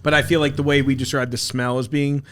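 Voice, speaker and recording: A man's voice: medium pitch, little pitch movement, fast talker. A man hosting a food-tasting podcast in casual, joking conversation. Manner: joking, chatty. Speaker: podcast host.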